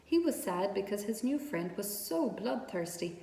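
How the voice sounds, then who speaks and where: A woman reading a storybook aloud as an expressive narrator. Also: mid-range voice, moderate pace, gravelly, reverberant, long reverb tail.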